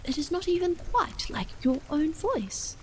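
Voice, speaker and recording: A woman's voice: very high-pitched, very animated, measured pace, darkish tone, slight background noise, voice pushed up, heavily acted, poor audio. A woman reading aloud and voicing an anxious wife who speaks with insistence and vexation. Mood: vexed. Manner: vexed, insistent, exclamatory.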